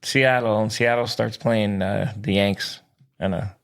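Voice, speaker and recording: A man's voice: fairly low pitch, steady tone, unhurried, gravelly. A man co-hosting a sports podcast, chatting casually in a blunt, slangy, teasing way.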